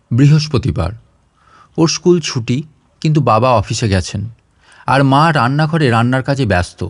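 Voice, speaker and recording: A man's voice: mid-range voice, dry recording, clean studio sound. A man reading a scripted short story as an audio narrator.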